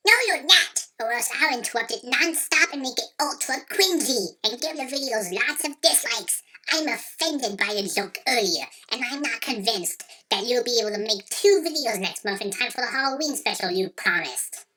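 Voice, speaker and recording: A woman's voice: on the high side, expressive, normal speed, hardly any pauses, background noise, natural voice. A woman playing a spiteful, threatening intruder who taunts a host in a scripted web skit. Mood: indignant. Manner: taunting, menacing.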